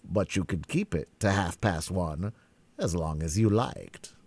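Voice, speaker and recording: A man's voice: low voice, unhurried, a little mellow, breathy edge, dry recording, full character voice. A man reading a storybook aloud and voicing a mournful, rambling eccentric hatter close to his own voice.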